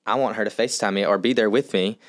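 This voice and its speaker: A man's voice: lowish voice, fast talker, no room sound, studio quality. A man who works as a real estate agent, a podcast guest, explaining in an earnest, step-by-step way. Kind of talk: casual podcast conversation.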